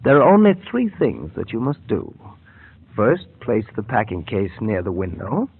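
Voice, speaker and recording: An actor playing a scheming scientist who explains things in a measured, formal way, in 1930s radio style. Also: male voice, fairly low pitch, big pitch swings, vocal fry, slight background noise. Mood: earnest, formal. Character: smooth scheming scientist posing as earnest inventor. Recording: old muffled radio broadcast.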